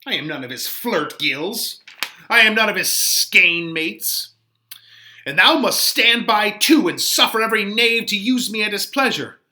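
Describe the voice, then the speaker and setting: A man's voice: sits high, lively intonation, unhurried, bright, forceful tone, pitched above the performer's own voice, full character voice. A man voicing an indignant, comical old woman, a chattering nurse in a Shakespeare play, with a flustered, huffy, emphatic manner. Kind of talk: dramatic Shakespeare reading.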